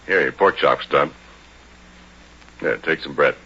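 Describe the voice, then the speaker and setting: A folksy oil rig hand in an old radio play. His voice is very low and husky, with an easygoing, rustic turn of phrase. It comes through a dull 1940s recording. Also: measured pace, bright, forceful tone, exaggerated voice. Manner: colloquial, rustic working-man grammar. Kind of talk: 1940s radio drama acting.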